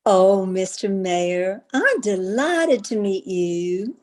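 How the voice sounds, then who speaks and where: A woman's voice: mid-range voice, very animated, slow. An actress as a flirtatious society lady in a vintage radio comedy. Her voice swoops expressively as she coaxes, gushes and pushes coyly to get her way. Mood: gushing. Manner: coaxing, insistent, gushing. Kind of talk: old-time radio comedy acting.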